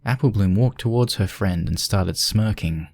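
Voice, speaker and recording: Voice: low-pitched, moderate pace, creaky, darkish tone, husky. A narrator reading a scripted fan fiction story aloud.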